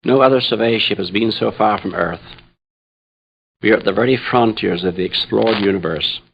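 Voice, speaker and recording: An actor playing a grave, troubled Jesuit astrophysicist in a radio drama, solemn and measured, with a gravelly, husky voice. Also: male voice, fairly low pitch, lo-fi sound, slight background noise. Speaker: dramatic narrator. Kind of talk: first-person radio drama monologue.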